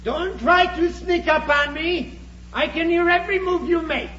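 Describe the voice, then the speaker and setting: A man's voice: very high-pitched, moderate pace, pushed and bright, slight background noise. An actor playing a threatening male henchman with a ray gun in a 1950s radio serial. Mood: menacing. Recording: echoey, muffled old radio recording.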